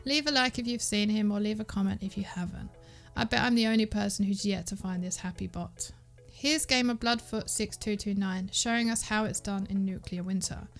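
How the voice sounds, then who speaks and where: A woman presents a scripted gaming community recap as its host. Her voice sits at a middle pitch, with music playing behind her. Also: fairly quick. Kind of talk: scripted narration, YouTube gaming news.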